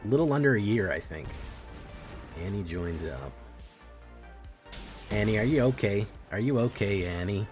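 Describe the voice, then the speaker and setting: A man's voice: lowish voice, moderate pace, long pauses. A man streaming a card game, chatting casually with his viewers and reacting to plays. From Chile. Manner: relaxed, chatty, reacting to chat. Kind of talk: casual live-stream commentary. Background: faint music.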